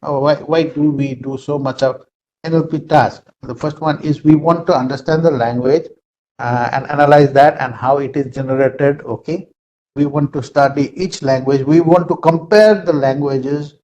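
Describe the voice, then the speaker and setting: Halting Indian English lecturer, man. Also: on the high side, fairly even intonation, a little mellow, highs cut off, slight background noise. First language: Nepali. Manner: halting, many "uh" fillers. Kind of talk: online lecture. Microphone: video call.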